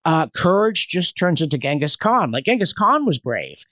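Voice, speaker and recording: A man's voice: fairly high pitch, sing-song, normal speed, pushed and bright, dry recording, clean studio sound. A man speaking as a podcast guest in an intellectual discussion, with an erudite, emphatic, argumentative manner and strong opinions. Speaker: podcast guest, history commentator.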